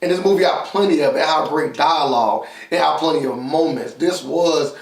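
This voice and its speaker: A man with a slightly high, creaky voice chats through a movie review. He is opinionated and emphatic, repeating phrases to drive points home. The sound is echoey, as if he sits back from the mic. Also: a little fast. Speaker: film reviewer and co-host. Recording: roomy echo, distant from mic.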